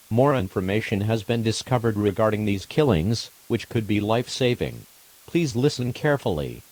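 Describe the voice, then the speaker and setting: A man's voice: fairly low pitch, darkish tone, low-quality recording. A man reading a scripted emergency broadcast as its announcer, formal and impersonal.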